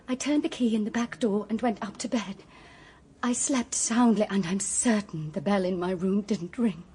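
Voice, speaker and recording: A timid, anxious young female companion in a radio play. The voice is high and breathy, and the delivery is hesitant and imploring, that of an earnest, frightened innocent. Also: a little mellow. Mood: anxious, recounting. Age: young adult. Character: frightened, earnest 28-year-old female companion accused of murder. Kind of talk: radio drama acting.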